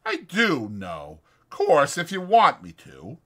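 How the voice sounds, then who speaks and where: Guy doing an old ranch hand, awkward and folksy, kinda halting, voice lifted high, audiobook drama. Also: huge pitch swings, fairly bright tone, heavily acted. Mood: hesitant, friendly. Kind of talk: dramatized audiobook character acting.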